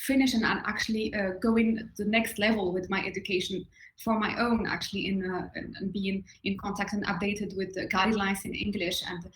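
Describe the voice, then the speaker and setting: A woman's voice: mid-range voice, flat delivery, fairly quick. Moroccan-born woman moderating, echoey room. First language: Moroccan Arabic. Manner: hesitant, many filler uhs. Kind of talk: live online panel moderation.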